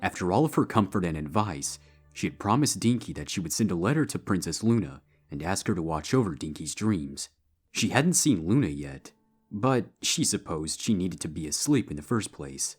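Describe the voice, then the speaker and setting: A man's voice: low voice, very animated, normal speed, slightly rough. A man giving a scripted narration of a story as its narrator. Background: background music.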